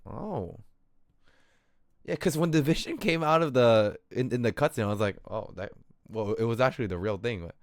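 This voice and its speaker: A man streaming a game and reacting casually, with drawn-out, incredulous exclamations. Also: mid-range voice, expressive, no room sound, studio quality. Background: soft game music.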